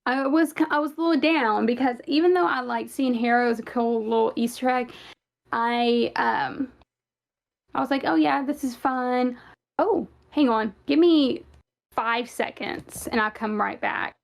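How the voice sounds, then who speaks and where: A woman's voice: on the high side, measured pace, vocal fry. A young woman with a Southern US accent co-hosting a casual fan podcast, chatty and rambling with many fillers. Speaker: podcast co-host.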